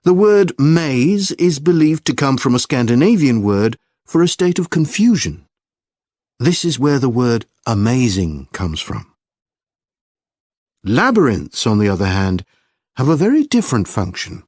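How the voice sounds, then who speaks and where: A man delivering a scripted academic lecture in a measured, explanatory manner. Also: mid-range voice, sing-song, a little mellow, no echo, clean studio sound. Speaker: lecturer in a listening test.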